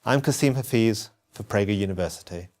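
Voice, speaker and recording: A man's voice: fairly low pitch, a little fast, a little mellow. A young adult man, giving an earnest, emphatic scripted first-person narration as a studio voice-over. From England.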